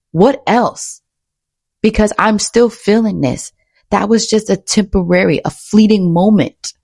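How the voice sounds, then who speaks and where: A woman with a low voice and plenty of vocal fry delivers a frank personal monologue. She is blunt and emphatic, often restating a phrase in short bursts. The sound is close and dry. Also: no room sound. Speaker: vlogger and author. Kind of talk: candid personal monologue to camera.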